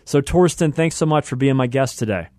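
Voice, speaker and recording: A man's voice: medium pitch, brisk, a little mellow, no room sound, clean studio sound. An American man hosting a radio talk show, speaking conversationally and effusively, repeating phrases for emphasis. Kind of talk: conversational show introduction and interviewing.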